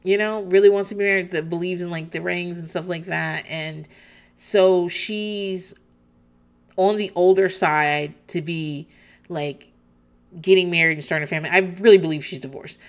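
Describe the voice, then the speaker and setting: A woman's voice: on the low side, fairly even intonation, normal speed, clean tone. A woman host chatting casually as she recaps a reality show, swearing freely and laughing often.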